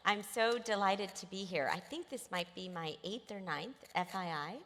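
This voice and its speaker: A woman's voice: medium pitch, normal speed, fairly bright tone. A woman born in Egypt, speaking as a panelist with enthusiasm and frequent ums. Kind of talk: panel discussion. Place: large hotel conference hall. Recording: reverberant conference hall.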